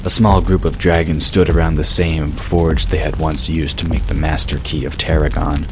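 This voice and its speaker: A male narrator with a remarkably low, bass voice reads a scripted fantasy tale. There is slight ambient noise behind him. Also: flat delivery, moderate pace.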